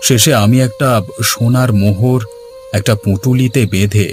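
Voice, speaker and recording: A man playing an earnest, gentle village father and husband in a cartoon, speaking in dramatic storytelling fashion. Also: medium pitch, steady tone, moderate pace, lightly acted. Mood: earnest, recalling. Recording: music behind the voice.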